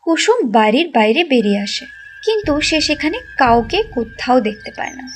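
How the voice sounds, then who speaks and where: A woman narrating a cartoon story in a lively storytelling manner. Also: high voice, moderate pace, a little hiss or hum.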